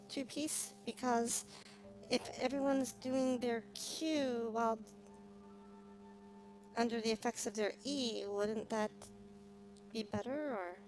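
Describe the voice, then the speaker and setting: A woman livestreaming a game, chatting casually and thinking aloud in a halting, musing way. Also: on the high side, a little mellow, poor audio. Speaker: livestreamer playing a video game. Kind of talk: casual gameplay stream chat. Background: music.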